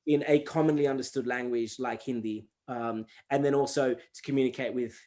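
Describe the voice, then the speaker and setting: A man's voice: medium pitch, a little fast. A man, a hip-hop artist who grew up speaking Gujarati, talking in a casual interview, telling stories animatedly and with many restarts. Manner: animated storytelling, acts out quoted exchanges; frequent fillers and restarts.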